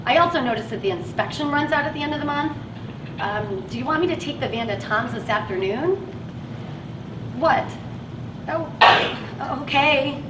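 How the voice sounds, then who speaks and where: An actress on stage playing a frustrated working woman who drives a van and feels stuck in her job. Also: sits high. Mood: polite, frustrated. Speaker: actress in a one-act play. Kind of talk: live stage play acting. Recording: echoey, distant, noisy live stage recording.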